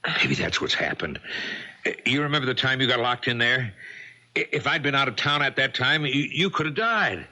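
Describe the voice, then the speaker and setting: An actor playing an anxious, guilt-ridden husband in a radio mystery, with a halting, agitated delivery full of stammered repetitions. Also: male voice, medium pitch, animated, bright, forceful tone, slight background noise. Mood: worried. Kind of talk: 1970s radio mystery acting.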